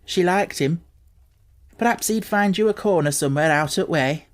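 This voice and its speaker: A man's voice: fairly high pitch, moderate pace, natural voice. A man voicing a cheerful, plain-spoken young Yorkshire housemaid in an audiobook, chatting in broad, homely dialect. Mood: frank, helpful.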